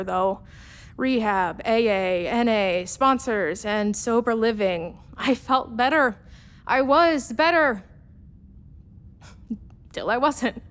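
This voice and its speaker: Woman acting a troubled lady space mechanic, confessional radio drama monologue, highish voice, pauses a lot. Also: fairly bright tone. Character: troubled, self-assured female spaceship mechanic confessing addiction.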